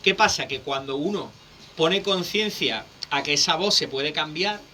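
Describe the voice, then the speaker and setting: A man, a public speaking coach explaining things in a lively, teacherly way as a guest on a radio interview. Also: a little high, measured pace, slight background noise.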